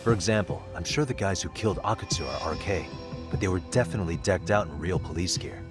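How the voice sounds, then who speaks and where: Deep-voiced level-headed detective played by a man. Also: fast talker, music in the background, close to an everyday voice. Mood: serious, steady. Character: level-headed male detective briefing his allies. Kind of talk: English video game dub dialogue.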